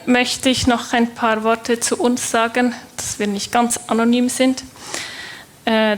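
Young Swiss woman, conference presenter; higher voice, slow, hesitant delivery with fillers. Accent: Swiss German. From Switzerland. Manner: hesitant, many filler sounds and restarts. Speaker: conference speaker, developer. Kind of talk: technical conference talk.

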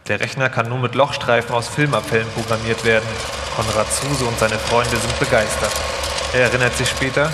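A man's voice: mid-range voice, fairly even intonation, fairly quick, very noisy. A man narrating a scripted documentary feature live. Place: live stage in a reverberant hall. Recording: echoey hall with long reverb.

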